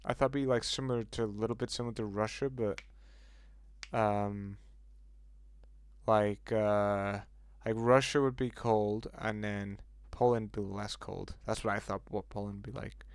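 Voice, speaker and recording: A man's voice: on the low side, steady tone, moderate pace, clear, resonant tone, no room sound. A man casually chatting with viewers on a livestream, reacting aloud to messages and swearing now and then.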